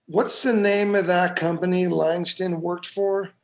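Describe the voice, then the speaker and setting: An actor in a screenplay table read playing a guarded, cynical police detective, brisk and matter-of-fact. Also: male voice, sits high, steady tone. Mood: businesslike.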